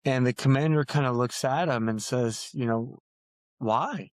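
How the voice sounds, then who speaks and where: A man's voice: mid-range voice, a little slow, no room sound. Earnest man in a dry recording. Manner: earnest, emphatic, repeats phrases for weight. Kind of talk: earnest podcast interview talk.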